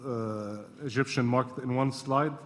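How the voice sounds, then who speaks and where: A man's voice: medium pitch, fairly even intonation, unhurried, fairly bright tone. A man moderating a panel, thanking speakers politely with hesitant fillers. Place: university lecture hall. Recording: echoey hall with faint noise.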